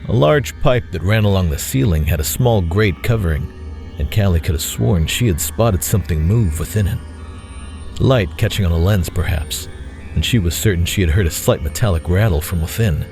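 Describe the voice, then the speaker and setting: A man's voice: deep voice, expressive, moderate pace, vocal fry, darkish tone, faint music behind. A man reading scripted dramatic narration as the narrator of an audio story.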